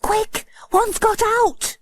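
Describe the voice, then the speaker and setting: A woman's voice: extremely high pitch, steady tone, very slow, heavily acted. A woman voicing an eager young boy in a children's storybook, with a childlike way of speaking. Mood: urgent.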